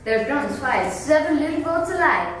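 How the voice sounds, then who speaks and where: A woman's voice: high voice, sing-song, moderate pace. A young girl reading scripted story narration as a student performer. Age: child. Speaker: student reader. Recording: very echoey room, distant mic, faint noise.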